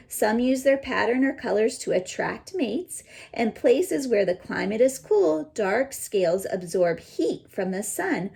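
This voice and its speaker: A woman teacher reading a children's picture book aloud and pausing to explain it in simple words. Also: a little high, vocal fry, darkish tone.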